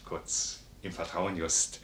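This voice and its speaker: An actor playing a hearty, swaggering, generous soldier who is eager for war, with boisterous, emphatic delivery in a classic radio play. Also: male voice, sits low, level tone, slow pace, fairly bright tone, echoey room. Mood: boastful, eager.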